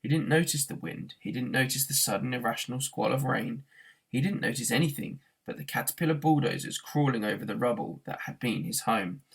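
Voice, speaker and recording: A man reading a novel aloud as an expressive narrator, in the way of a teacher reading to a class. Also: medium pitch, brisk, gravelly, husky, rough recording.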